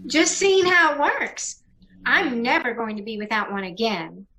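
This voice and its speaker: A woman's voice: on the high side, unhurried, bright, forceful tone, slight background noise. A woman playing a scheming, murderous bride, coy and sugary, in an old-time radio mystery. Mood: sugary, menacing.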